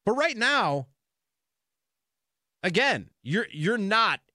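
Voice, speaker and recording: A man's voice: sits high, very animated, very slow, sharp tone, no echo, studio quality. An American man hosting sports talk radio, speaking in an emphatic, conversational monologue that repeats phrases for emphasis.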